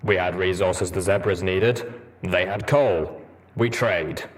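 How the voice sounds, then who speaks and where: A man voicing a stoic soldier pony in a storybook, speaking in terse, deliberate, matter-of-fact statements. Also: deep voice.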